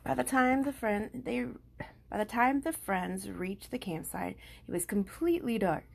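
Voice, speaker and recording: Female amateur storybook reader, mid-pitched, expressive, slightly stumbling. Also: normal speed, vocal fry. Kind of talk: children's storybook read-aloud.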